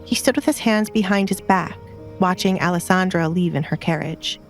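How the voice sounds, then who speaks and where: A woman's voice: lowish voice, normal speed, soft background music. A woman reading scripted romance fiction as an audiobook narrator.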